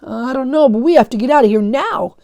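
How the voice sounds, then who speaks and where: A woman's voice: high voice, lively intonation, a little fast, dark tone, voice pushed up. A woman reading a storybook aloud as a competitive, sassy young boy with an emphatic delivery. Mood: urgent. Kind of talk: children's storybook read-aloud.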